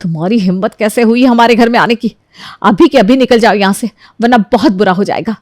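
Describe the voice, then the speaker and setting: A woman voices a stubborn married daughter in a story drama. She sounds emphatic and easily provoked, in a raised pitch with very little room sound. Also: fairly quick, a little mellow, dry recording, clean studio sound, natural voice. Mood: angry. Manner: emphatic, indignant. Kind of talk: Hindi audio story character acting.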